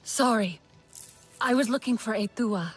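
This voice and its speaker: A woman's voice: fairly high pitch, measured pace, over music, lightly acted. An actress playing an earnest young female alien heroine in a video game cutscene.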